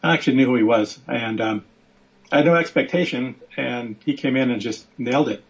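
A man who is a guest author on a podcast, telling his story briskly in casual chat with plenty of fillers. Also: mid-range voice. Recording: dull lo-fi audio.

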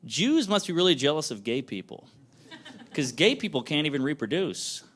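A man doing a stand-up set on a club stage, with a lively, self-mocking delivery. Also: fairly high pitch, moderate pace. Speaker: stand-up comedian. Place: comedy club stage before laughing audience. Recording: loud crowd laughter and noise.

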